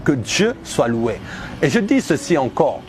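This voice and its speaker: A man in his thirties from Algeria gives a personal religious testimony, speaking earnestly and emphatically in deliberate, repeated phrases. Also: fairly high pitch, sing-song, a little mellow. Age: 30s.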